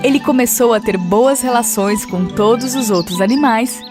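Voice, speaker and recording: A woman's voice: fairly high pitch, moderate pace. A woman with a Brazilian accent reading a scripted children's fable as the storyteller. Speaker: storyteller narrator. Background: music.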